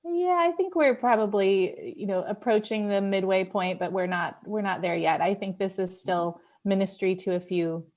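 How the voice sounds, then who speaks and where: A woman's voice: medium pitch, moderate pace, few pauses, darkish tone. A woman leading a church Bible study in a casual, reflective discussion style, often checking in with listeners as she explains. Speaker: group leader and pastor of a church Bible study.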